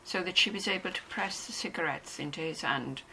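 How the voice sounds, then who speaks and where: A woman's voice: deep voice, a little fast, creaky, bright, forceful tone, poor audio. A woman narrator reading a novel in a scripted, storytelling style. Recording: faint background noise and ambience.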